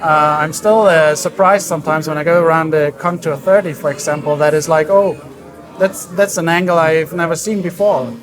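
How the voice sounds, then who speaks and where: A man explaining his work as a design director in an interview, in a halting, thoughtful way. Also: a little high, steady tone. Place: busy hi-fi trade show hall. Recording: crowd chatter around the voice. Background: crowd chatter.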